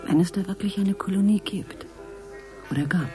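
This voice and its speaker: An actress playing a sober, doubtful woman who thinks through a mystery in a measured way, in a radio drama. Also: mid-range voice, over music, lightly acted. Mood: pondering. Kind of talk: German radio drama acting.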